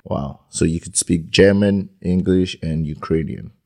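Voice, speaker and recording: Very low voiced guy hosting a chat podcast, kinda hesitant, repeats himself. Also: fairly even intonation, unhurried, dark tone. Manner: hesitant, repeats words when starting a question. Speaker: podcast host. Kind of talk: casual interview podcast.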